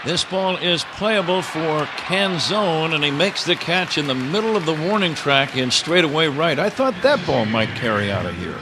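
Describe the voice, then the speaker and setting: A man's voice: a little high, moderate pace, hardly any pauses. A man calling baseball play-by-play live on the radio as the announcer. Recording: crowd roar and steady stadium noise. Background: ballpark crowd.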